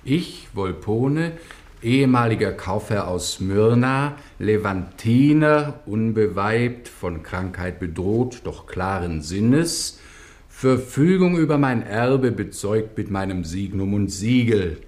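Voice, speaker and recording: An actor playing a stern, dignified Venetian judge in a German radio drama, measured and authoritative. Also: male voice, on the low side, expressive, a little mellow. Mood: solemn reading.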